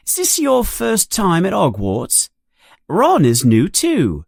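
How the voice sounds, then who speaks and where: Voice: medium pitch, huge pitch swings, slow pace, creaky, husky, no room sound, studio quality, voice pushed up, heavily acted. A narrator voicing a kindly, reassuring middle-aged mother in a storybook. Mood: friendly.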